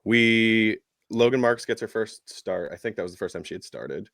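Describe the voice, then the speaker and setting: Low-voiced man chatting casually about sports. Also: animated, normal speed, gravelly, fairly bright tone, no echo, clean studio sound. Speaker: podcast co-host. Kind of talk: casual sports chat, match recap.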